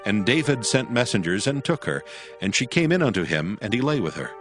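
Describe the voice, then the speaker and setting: A male narrator reads scripture in a low voice. His delivery is formal, measured and solemn, with music playing behind him. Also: sing-song, a bit bright.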